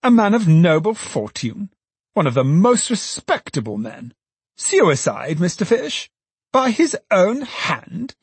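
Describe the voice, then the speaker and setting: A man voicing a pompous, sanctimonious, excitable alderman in an audiobook, exclaiming and lamenting grandly. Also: a little high, measured pace, slightly rough, no echo, pitched above the performer's own voice, heavily acted. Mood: shocked. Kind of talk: dramatized audiobook character voice.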